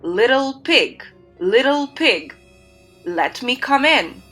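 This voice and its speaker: A woman's voice: on the high side, big pitch swings, slow, soft background music, exaggerated voice. A woman voicing a big, bad, greedy male wolf who bellows threats. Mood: demanding. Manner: loud, blustering threats.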